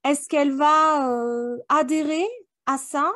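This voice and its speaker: High-pitched woman in close, dry sound. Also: fairly even intonation, takes it slowly, a bit bright, no room sound. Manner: reflective, poses rhetorical questions. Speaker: book club podcast co-host. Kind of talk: casual book discussion chat.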